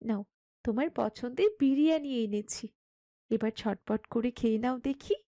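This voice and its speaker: A woman's voice: fairly high pitch, normal speed, mellow, no echo, natural voice. A woman voicing a headstrong, loving young daughter of a landlord in a cartoon story, speaking earnestly and insistently. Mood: playful, affectionate. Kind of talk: Bengali cartoon story acting.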